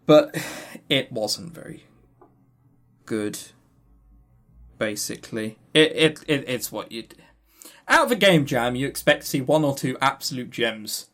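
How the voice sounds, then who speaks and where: A man chats casually as a podcast co-host giving reviews. His pitch swings in a lively way, and he is dry and critical, often stumbling over the first word and starting it again. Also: a little slow, pauses often.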